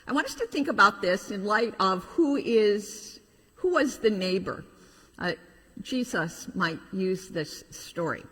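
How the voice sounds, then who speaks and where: A middle-aged woman, a pastor telling a children's story in an animated way with informal asides. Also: medium pitch, unhurried, slightly rough. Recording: reverberant hall.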